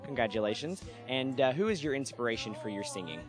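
A man firing off fast interview questions backstage at a convention, his voice sitting at an ordinary middle pitch. He talks quickly and fills gaps with little fillers. Faint music plays behind him. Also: lively intonation. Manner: brisk, with filler pauses.

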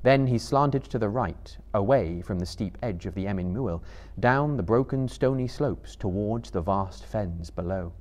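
A man in his thirties giving a measured, theatrical literary narration as a live dramatic reader. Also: lowish voice, lively intonation, a little mellow. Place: seated indoors on a book-lined stage before a live audience.

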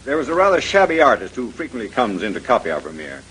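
An actor playing a fussy, opinionated museum doctor in a 1930s radio mystery, confiding and a little hesitant, with a husky voice. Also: male voice, mid-range voice, huge pitch swings, fast, a bit bright, a little hiss or hum, poor audio.

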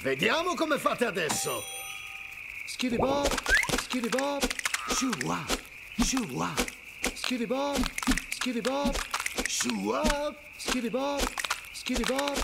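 A man voicing a showy, playful swamp creature in an animated video game, with a sing-song, drawn-out way of talking. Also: very high-pitched, vocal fry, full character voice. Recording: loud noise behind voice, clicks.

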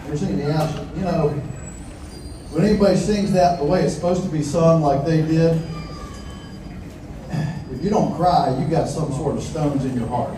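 A man's voice: fairly high pitch, background noise. An older man giving a folksy, earnest public speech on stage. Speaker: keynote speaker. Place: large event hall stage. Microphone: handheld mic through PA. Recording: echoey PA in a large hall from far off.

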